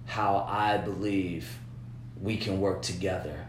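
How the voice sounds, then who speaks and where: Rambling low-voiced man in an echoey room. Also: fairly even intonation, takes it slowly, slight background noise. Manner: rambling, repeats words, frequent "you know what I'm saying". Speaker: business-minded artist partner. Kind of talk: casual planning chat.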